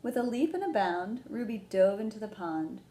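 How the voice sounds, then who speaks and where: A woman hosting a children's storytime, explaining things simply and gently and reading a picture book aloud. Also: medium pitch, expressive, normal speed. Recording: roomy echo, background noise.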